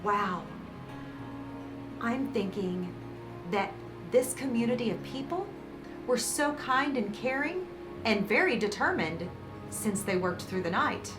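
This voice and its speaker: A woman reading a picture book aloud to children as host, with dramatic emphasis and warm, encouraging asides. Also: a little high, animated, slow, long pauses, rough recording. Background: background music.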